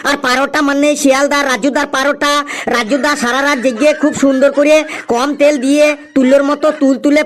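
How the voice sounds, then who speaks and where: A man plays an eager cartoon schoolboy with a very high voice. He is cheeky and earnest, reciting like a pupil showing off an essay in class. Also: a bit bright. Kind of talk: comic cartoon dialogue.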